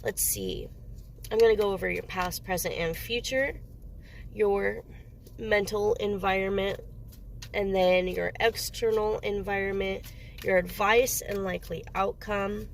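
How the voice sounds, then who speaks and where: Gravelly-voiced woman with a cold. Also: mid-range voice, a little slow, a little hiss or hum, low-quality recording. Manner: rambling, searching for words. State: sniffly with a cold. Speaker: tarot reader. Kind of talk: casual solo tarot reading.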